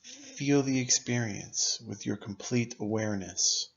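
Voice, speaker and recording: A man narrating a scripted guided meditation in a measured, instructive manner, pausing deliberately between phrases. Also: fairly low pitch, vocal fry, muffled recording.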